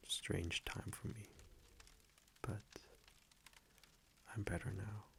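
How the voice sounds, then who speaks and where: A man playing an affectionate centuries-old vampire boyfriend in an intimate ASMR roleplay, speaking softly, hesitantly and teasingly into a close microphone. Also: low voice, creaky, a little hiss or hum, heavily acted. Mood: reflective, tender. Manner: soft, hesitant, teasing, chuckling between phrases.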